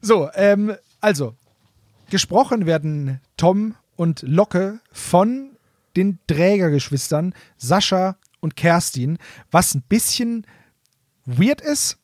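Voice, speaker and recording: A man's voice: high voice, animated, takes it slowly, darkish tone, dry recording, studio quality. A man, co-hosting a podcast and explaining things in casual chat. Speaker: podcast co-host.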